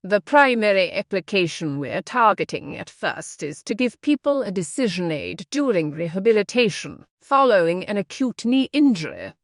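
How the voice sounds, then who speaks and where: Female reader, lively melody, dry recording, scripted exam practice delivery. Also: medium pitch, moderate pace, hardly any pauses, no echo.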